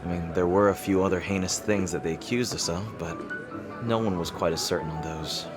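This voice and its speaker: A man playing a guarded, flustered, gently teasing rival fantasy king in an intimate roleplay audio drama, speaking hesitantly. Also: sits low, steady tone, music in the background, lightly acted. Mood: earnest, subdued. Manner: hesitant, halting.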